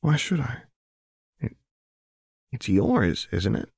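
A man voicing a serene, mysterious goddess disguised as a beggar woman, speaking quietly and gently in a narrated story. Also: mid-range voice, big pitch swings, slow pace, vocal fry, slightly rough, dry recording, studio quality, pitched above the performer's own voice, exaggerated voice. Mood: gently reassuring.

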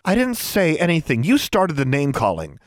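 A man's voice: high-pitched, big pitch swings, fairly quick, dry recording, studio quality, voice pushed up. A man voicing a prickly, defensive schoolgirl in an audiobook story, snappish and accusing.

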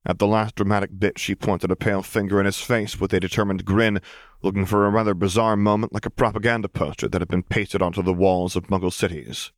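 Low guy narrating a novel, measured delivery, dry close sound with no room. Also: steady tone, dry recording. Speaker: audiobook reader. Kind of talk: scripted story narration.

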